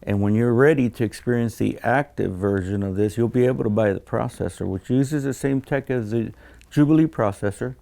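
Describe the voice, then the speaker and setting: A man speaking as a design engineer in an explanatory interview, measured and technical, often pausing mid-phrase. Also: medium pitch, vocal fry, warm tone.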